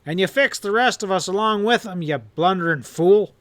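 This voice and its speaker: A man's voice: on the high side, normal speed, bright, forceful tone, dry recording. A man voicing a hard, furious outlaw leader who roars and snarls his threats. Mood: roaring. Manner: snarling, roaring, ominous.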